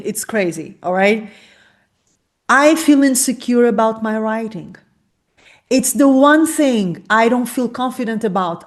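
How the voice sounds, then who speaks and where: A woman who grew up speaking Portuguese and Spanish, hosting a podcast interview and talking openly and expressively. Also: fairly high pitch. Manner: expressive, talks through her feelings openly. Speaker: podcast host. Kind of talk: conversational interview.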